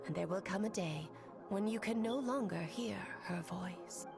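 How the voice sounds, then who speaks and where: A woman voicing a worldly female mentor in a game cutscene, gently teasing and speaking in a measured way. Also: mid-range voice, gravelly. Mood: wry. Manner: playful, measured. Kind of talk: video game cutscene dialogue. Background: game music.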